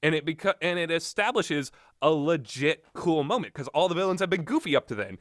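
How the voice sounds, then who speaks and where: A man's voice: on the high side, expressive, brisk, fairly bright tone, no echo, clean studio sound. A man giving casual, unscripted game commentary, opinionated and mildly profane, often backtracking on himself as he goes. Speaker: video game reviewer.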